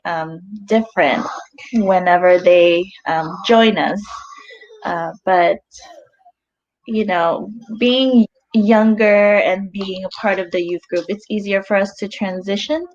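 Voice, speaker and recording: A young woman from the Philippines, answering interview questions in a soft, hesitant way with many ums. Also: medium pitch, steady tone, takes it slowly. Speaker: guest. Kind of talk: casual interview chat. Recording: slightly dull audio.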